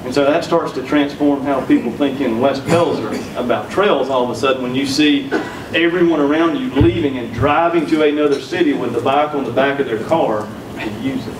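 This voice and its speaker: A man's voice: medium pitch, brisk, few pauses, noisy background. A man in his thirties from South Carolina with a Southern accent, giving a conference keynote with folksy, humorous storytelling on a handheld mic. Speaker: keynote speaker. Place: conference room, indoors. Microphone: handheld mic, recorded from far back. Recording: echoey room from a distance.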